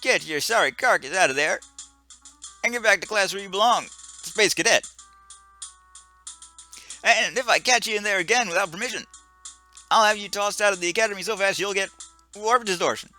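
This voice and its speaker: A man voicing an angry male starship captain who shouts and scolds a cadet. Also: high voice, normal speed, long pauses, soft background music, pitched above the performer's own voice. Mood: furious.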